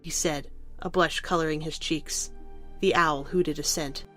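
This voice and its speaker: Female storybook narrator for an audio drama, quite deep voice, light music underneath. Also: steady tone, unhurried, a bit bright.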